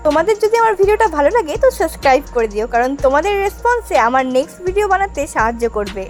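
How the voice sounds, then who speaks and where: Woman, very high voice, lively intonation, scripted video narration, direct address to viewers. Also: rapid-fire. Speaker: channel host and narrator. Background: background music.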